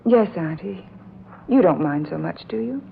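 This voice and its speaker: An actress playing a frightened, distressed young fiancée in an old-time radio drama, speaking hesitantly and urgently. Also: female voice, fairly low pitch, expressive, normal speed, vocal fry. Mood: tired, polite. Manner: hesitant, urgent, earnest delivery with repeated words. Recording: dull, noisy old radio recording.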